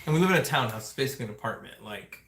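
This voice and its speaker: A man co-hosts a relaxed home livestream with a chatty, joking manner. He speaks conversationally to his viewers. The sound is echoey, picked up in a room at home. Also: mid-range voice, rapid-fire, vocal fry, slight background noise. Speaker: family vlog host. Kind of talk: casual livestream chat. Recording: roomy indoor echo.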